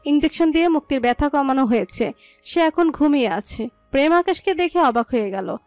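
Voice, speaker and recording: A woman's voice: high-pitched, animated, brisk, darkish tone, no room sound, soft background music. A woman reading scripted dramatic fiction as a story narrator.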